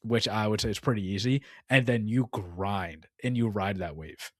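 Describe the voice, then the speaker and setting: A man's voice: lowish voice, moderate pace, fairly bright tone, dry recording, studio quality. A young man co-hosting a casual gaming podcast, rambling and filling his talk with "like" and "dude.". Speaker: podcast co-host.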